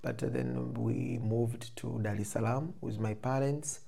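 Mellow Tanzanian man, slightly gravelly, in interview. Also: mid-range voice, steady tone, a little slow. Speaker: interview guest, photographer and filmmaker. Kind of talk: conversational interview answers.

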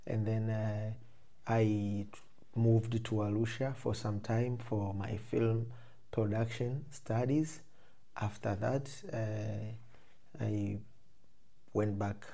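A man with a Tanzanian accent speaks as an interview guest. His voice is relaxed and dark, with a noticeable creak at the edges. He talks conversationally and reflectively, rephrasing as he explains. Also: mid-range voice. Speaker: interview guest, photographer and filmmaker.

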